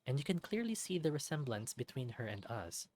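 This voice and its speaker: A let's play narrator reading a visual novel aloud in an expressive storybook way, with light personal asides. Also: medium pitch, a little fast, dry recording.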